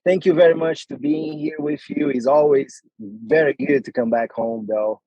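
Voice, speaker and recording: A man from Brazil, an ER nurse, introducing himself in a friendly, slightly halting way. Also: mid-range voice, dry recording. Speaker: ER nurse, interview guest. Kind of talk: casual interview answers. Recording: dull, lo-fi sound.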